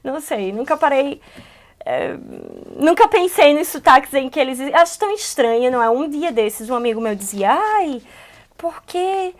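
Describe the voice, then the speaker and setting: Northeastern Brazilian woman, high voice, radio co-host, chatty and reflective. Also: moderate pace. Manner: animated, musing, many "não é?" tags. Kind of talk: casual conversation about poetry.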